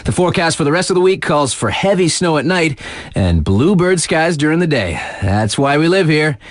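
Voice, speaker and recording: A man playing a laid-back, thrill-loving snowboard radio DJ in a video game, reading casual mountain weather updates with slang and playful dramatic pauses. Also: fairly high pitch, big pitch swings, no echo, clean studio sound. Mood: upbeat, easygoing.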